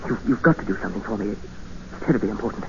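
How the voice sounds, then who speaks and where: An actor playing an educated English captive, narrating and speaking in an earnest, formal, dramatic 1950s radio style. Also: male voice, lowish voice, quick pace, vocal fry, fairly bright tone, a little hiss or hum. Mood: urgent pleading. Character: desperate, educated male English captive expedition member, narrating. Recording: muffled old radio recording with music.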